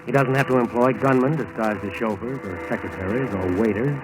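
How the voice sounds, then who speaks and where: An American man giving measured, dramatic scripted narration in the style of 1940s radio. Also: mid-range voice, over music, rough recording. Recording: old 1940s radio broadcast recording.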